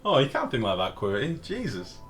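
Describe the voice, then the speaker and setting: A man with a deep, booming voice giving casual, sweary live game commentary as a streamer and chatting with viewers. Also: huge pitch swings, fairly quick, fairly bright tone, faint music behind. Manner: informal, sweary, reads and answers chat. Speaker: game livestreamer. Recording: clear background noise.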